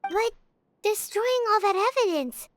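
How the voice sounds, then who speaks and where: An actress playing a tiny, childlike, curious and excitable floating companion in a video game, with a squeaky voice. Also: female voice, a little slow, a little hiss or hum.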